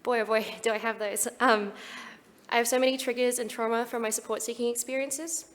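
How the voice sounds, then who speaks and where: A woman's voice: a little high, fairly even intonation, fairly quick, big-room sound. A young Australian woman giving an earnest, prepared talk at a conference. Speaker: conference speaker.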